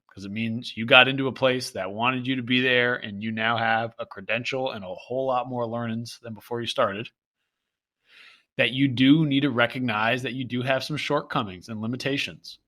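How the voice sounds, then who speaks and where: A man co-hosting a podcast with a bright, forward tone and mid-range pitch. He sounds a little stuffed up, but he stays upbeat and playful as he explains with images and metaphors. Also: fairly even intonation, moderate pace. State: congested and stuffy. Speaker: podcast co-host. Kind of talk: casual podcast chat.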